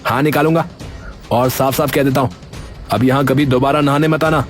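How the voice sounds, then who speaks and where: A man's voice: mid-range voice, fairly even intonation, a little fast, natural voice. A man playing a fed-up, defiant young village son in a Hindi cartoon story, complaining indignantly. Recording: music behind the voice.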